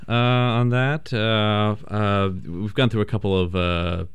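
A man's voice: fairly low pitch, steady tone, slow pace, smooth, no room sound, lo-fi sound. A man who acts in a radio drama, chatting in an interview with many fillers and restarts. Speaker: radio drama cast member. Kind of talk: casual interview chat.

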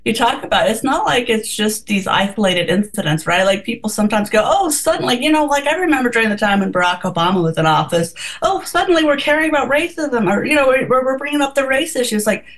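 A woman's voice: on the high side, lively intonation, quick pace, few pauses. A woman co-hosting a casual radio talk, speaking earnestly and reflectively with many restarts.

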